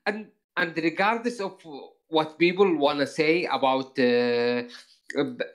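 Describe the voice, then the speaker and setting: A man whose first language is Arabic, talking casually on a live stream and often stopping to find his words. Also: on the high side. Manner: halting, repeats words while searching for phrasing. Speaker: guest player.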